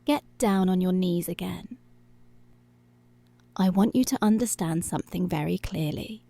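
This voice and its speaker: A woman playing a commanding, seductive policewoman in an intimate ASMR roleplay, speaking slowly and deliberately in a breathy voice that often drops to a whisper. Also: fairly low pitch, warm tone, dry recording. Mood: controlling, possessive. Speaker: ASMR voice actor.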